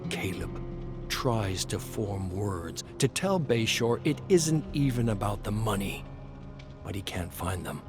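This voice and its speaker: A man's voice: fairly low pitch, sing-song, takes it slowly. A man narrating a scripted game story in a dramatic storytelling style. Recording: music under the voice.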